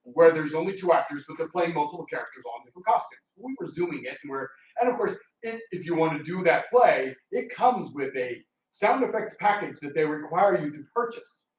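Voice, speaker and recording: A man's voice: a little high, animated, a little fast, hardly any pauses, highs cut off. A man chatting casually to an audience as a theatre performer, telling anecdotes in a rambling way. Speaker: radio theatre performer giving a public talk. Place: indoor public talk room. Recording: roomy, distant mic with background noise.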